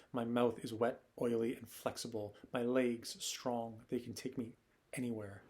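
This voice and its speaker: A man performing a poetic monologue as a nostalgic, yearning wanderer, in a measured recital style. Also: mid-range voice, darkish tone, lightly acted. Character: nostalgic wandering male poet, reflective and yearning.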